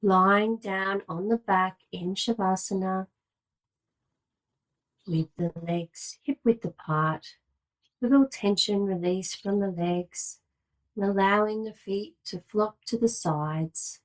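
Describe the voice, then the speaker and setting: A woman leading a guided relaxation meditation as a yoga nidra guide, giving calm, measured, soothing instructions with long pauses. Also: mid-range voice, low-quality recording.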